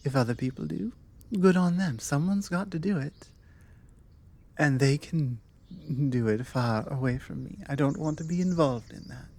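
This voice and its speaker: Slow, mellow British vampire woman, voiced by an actress. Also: very deep voice, full character voice. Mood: musing, assured. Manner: measured, conversational, deliberate pauses. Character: forward, self-assured female vampire chatting up a date. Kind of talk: ASMR roleplay, one-sided conversation.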